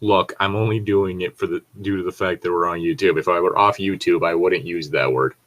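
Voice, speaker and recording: A man's voice: low voice, fairly even intonation, a little fast, fairly bright tone. A man chatting casually in a rambling way, swearing freely. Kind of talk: casual chat.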